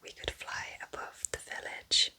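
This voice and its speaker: Casting: woman playing a kind, affectionate cottage witch for ASMR roleplay, soothing and chatty, in a breathy, very bright, sibilant whisper. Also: measured pace. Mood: gentle, welcoming. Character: kind, cosy forest witch welcoming a visiting relative.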